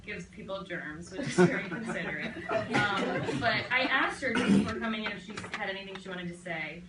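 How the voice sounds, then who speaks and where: A young woman with a loud voice giving a chatty, joking toast at a birthday party. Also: mid-range voice, fairly quick. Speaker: family member giving a toast. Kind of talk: casual spoken toast. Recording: echoey room, distant mic, loud crowd.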